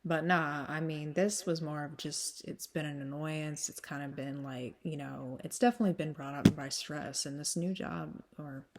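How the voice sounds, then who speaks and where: A woman with a smoky, slightly hoarse voice, still getting over a cold, chatting casually to her followers with lots of fillers and frequent laughs. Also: sits low, fairly even intonation, normal speed, hardly any pauses, slight background noise. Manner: chatty, full of fillers, laughs often, drifts back and forth. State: recovering from a cold, sinus congestion. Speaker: vlogger. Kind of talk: casual rambling chat to followers.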